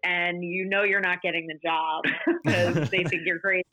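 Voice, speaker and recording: A woman speaking as a hiker guest in a podcast interview, reflective and measured. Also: lowish voice, bright, forceful tone, dry recording. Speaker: guest, long-distance hiker. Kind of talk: conversational interview. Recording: dull lo-fi, dry.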